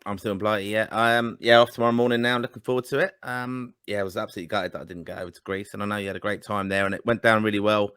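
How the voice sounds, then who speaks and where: A man co-hosting a casual football podcast over a video call, measured and earnest. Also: fairly low pitch, steady tone, fairly bright tone, clear, resonant tone, dry recording, studio quality. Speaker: podcast co-host. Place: England.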